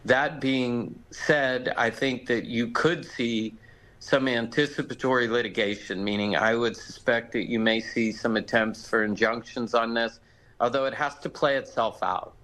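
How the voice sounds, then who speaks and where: A man's voice: mid-range voice, steady tone, measured pace, fairly bright tone, low-quality recording. A man with an American accent, a lawyer explaining things conversationally as a legal expert in a live interview.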